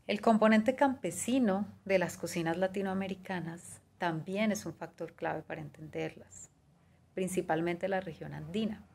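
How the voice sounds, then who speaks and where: Low, gravelly-voiced woman giving a talk. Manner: measured, listing items, with fillers like "eh" and "digamos". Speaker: guest speaker on pastry and cuisine. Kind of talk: prepared talk, partly read. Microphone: video call webcam.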